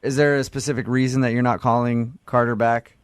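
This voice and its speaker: A man's voice: mid-range voice, level tone, brisk, smooth, no echo, studio quality. A man with an American accent hosting a morning radio show, bantering and interviewing callers.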